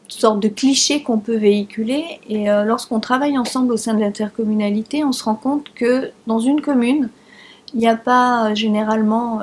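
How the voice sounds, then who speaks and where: A middle-aged woman with a husky voice, a local elected official giving interview testimony indoors. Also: a little high, darkish tone.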